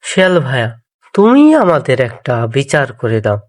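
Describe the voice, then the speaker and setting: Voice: mid-range voice, sing-song, moderate pace, close to an everyday voice. An actor voicing a naive, anxious, kind-hearted Brahmin man in a cartoon folk tale, earnest and plaintive. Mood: earnest complaint. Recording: music behind the voice. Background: music.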